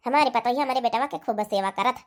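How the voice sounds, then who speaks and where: A woman's voice: fairly high pitch, fast talker, natural voice. Doting older Awadhi mother-in-law played by a woman. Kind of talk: Awadhi comedy cartoon dialogue.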